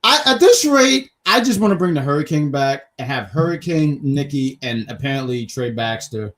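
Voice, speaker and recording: A man with a highly animated voice that swoops up and down. He co-hosts a review show in loose, casual chat. He teases and jokes, rambling and repeating phrases as he makes his point. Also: moderate pace. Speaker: co-host of a wrestling review show. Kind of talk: casual chat, opinionated commentary.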